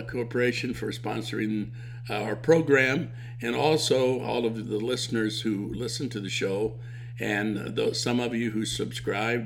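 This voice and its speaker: Male podcast host, gravelly, hesitant with frequent fillers. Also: on the low side, a little hiss or hum. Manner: halting, many fillers and restarts. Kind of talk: interview podcast.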